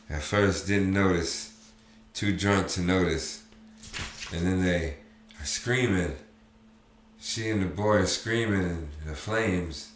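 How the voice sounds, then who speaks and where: A drunk, grief-wrecked sergeant with a very deep voice that slurs and breaks into loud, anguished outbursts, as a storyteller puts him on. It sounds like it was recorded in a roomy, echoing space with faint noise underneath. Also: male voice. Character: drunk grieving male sergeant, father.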